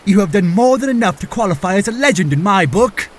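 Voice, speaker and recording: A man plays a flamboyant fish-man prince in a fantasy audio drama. The voice is high for a man and leaps around in pitch, boisterous, friendly and heroic. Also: lively intonation, fast, slight background noise. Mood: boisterous praise. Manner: boisterous, declamatory.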